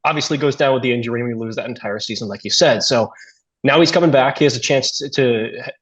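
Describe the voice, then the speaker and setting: Guy on sports talk radio, talks fast, breaking stuff down casually, bit lo-fi. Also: medium pitch, a bit bright. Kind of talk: conversational sports radio analysis.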